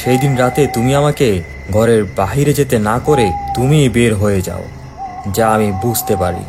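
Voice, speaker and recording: A man's voice: medium pitch, a little slow, noisy background, lightly acted. A man voicing a shrewd, resolute investigator in a horror cartoon, explaining things earnestly. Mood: accusing. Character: shrewd, resolute male investigator exposing a culprit.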